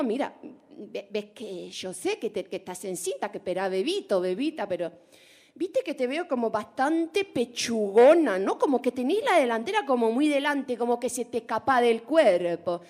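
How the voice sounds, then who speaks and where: Woman voicing a nosy chatty porteña, Rioplatense accent, high and swooping, teasing comic monologue. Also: very animated, a little mellow. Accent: Rioplatense Spanish put on. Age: 40s. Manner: chatty, teasing, drawn-out vowels.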